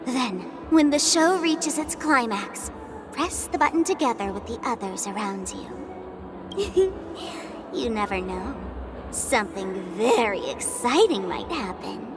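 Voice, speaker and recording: A woman's voice: on the high side, very animated, slow, over music. An actress playing a sweetly sinister hostess in a video game, coaxing and teasing as she talks. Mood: teasing, ominous. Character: sweetly sinister hostess handing gifts to little guests. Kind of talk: scripted video game dialogue.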